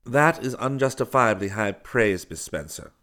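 A man voicing a formal, modest gentleman inventor with dry, understated humour in an audiobook. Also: on the low side, lively intonation, moderate pace. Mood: modest, uncomfortable. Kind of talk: audiobook character dialogue.

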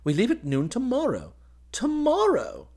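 A man's voice: medium pitch, wildly swooping pitch, moderate pace, breathy edge, pitched above the performer's own voice. A man voicing a boyish, happy young army officer in a story, with eager, exclamatory chatter. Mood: happy, excited. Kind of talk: dramatised audiobook reading.

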